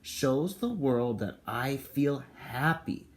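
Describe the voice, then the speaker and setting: A man's voice: medium pitch, animated, slow. A man speaking as a kindergarten teacher, explaining and reading aloud to young children and parents.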